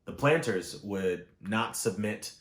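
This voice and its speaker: A man reading historical nonfiction aloud in a measured, formal way, phrasing each clause clearly. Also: lowish voice, expressive, a bit bright. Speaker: audiobook reader. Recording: roomy, echoing voice recording.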